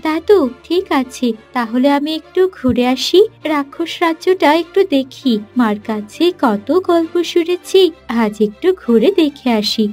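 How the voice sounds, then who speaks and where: A sweet and determined young princess from a fairy-tale cartoon, played by a woman. The voice is high and full of eager curiosity, over faint background music. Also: lively intonation, slow pace, darkish tone. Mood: inquisitive.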